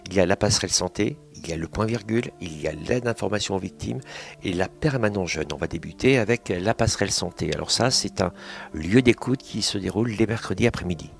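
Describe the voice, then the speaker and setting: Gravelly male radio interviewer. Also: on the low side, fast. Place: small kitchen of a community family centre.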